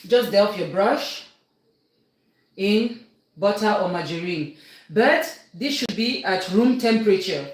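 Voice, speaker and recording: A woman's voice: mid-range voice, animated, slow, pauses often. Chatty Cameroonian woman in an echoey kitchen. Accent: Cameroonian English. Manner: chatty, instructive, keeps checking in with viewers. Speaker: cooking livestream host. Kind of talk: casual live baking demonstration. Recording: very echoey room, faint noise.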